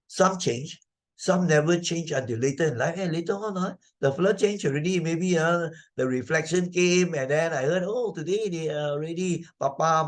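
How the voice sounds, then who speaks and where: Older Malaysian man teaching moral lessons. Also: mid-range voice. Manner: didactic, emphatic repetition, frequent tag questions. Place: online video presentation.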